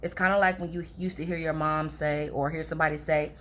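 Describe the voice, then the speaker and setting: A young woman with a raspy, hoarse voice, chatting casually to her viewers about relationships. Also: low-pitched, fairly even intonation, fast talker, a bit bright, slight background noise. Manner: chatty, confiding, addresses viewers as girls. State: hoarse from talking all day. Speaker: relationship advice vlogger. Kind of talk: casual advice talk to camera.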